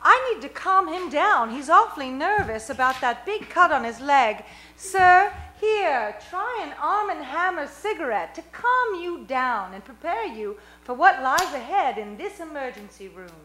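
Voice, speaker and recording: A woman playing a perky, soothing nurse who pitches products with exaggerated emphasis in a spoof vintage radio ad. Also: very animated, a little slow, hardly any pauses, bright, forceful tone, a little hiss or hum, exaggerated voice. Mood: brisk cheer. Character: perky, soothing female emergency-room nurse selling cigarettes.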